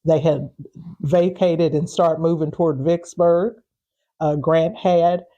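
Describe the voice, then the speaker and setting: A woman speaking off the cuff as an interview guest, pausing mid-phrase and repeating words as she goes. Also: sits low, steady tone, warm tone, lo-fi sound. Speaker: interview guest, historical preservation group organizer. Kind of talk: unscripted interview talk.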